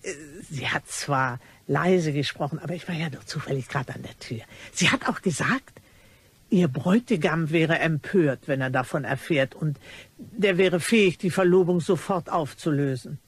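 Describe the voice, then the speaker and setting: A nosy, chatty cleaning lady in a radio crime drama. Her voice is rough and husky. She rambles on in a gossipy, exclamatory way while claiming to be discreet. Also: low voice, moderate pace. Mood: eager gossiping.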